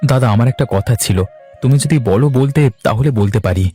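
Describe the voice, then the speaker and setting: Man acting a quick, mellow younger farmer brother. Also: medium pitch, lightly acted. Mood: concerned. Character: younger Bengali farmer brother, enterprising, eager, respectful to elder. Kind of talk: cartoon dialogue. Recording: music under the voice. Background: instrumental music.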